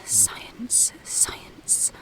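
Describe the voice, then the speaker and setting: A woman's voice: extremely slow, in a whisper, poor audio. An actress playing an unsettled woman of science in an audio drama, murmuring breathily and mocking herself. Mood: unsettled, self-mocking. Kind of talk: audio drama inner monologue. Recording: faint noise and ambience.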